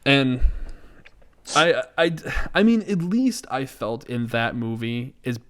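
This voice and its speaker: A man's voice: medium pitch, big pitch swings, takes it slowly, fairly bright tone, a little hiss or hum. A man co-hosting a podcast, talking casually in a rambling way that circles back on his thoughts. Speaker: podcast co-host. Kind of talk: casual chat, film discussion.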